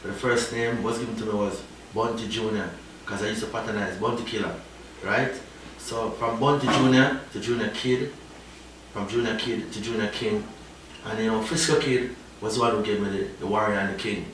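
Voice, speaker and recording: A man's voice: lowish voice, steady tone, normal speed, fairly bright tone. Jamaican man, echoey and distant. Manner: rambling, tags lines with "you know?". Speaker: reggae artist guest. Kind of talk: casual interview talk. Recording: echoey, distant, faint noise.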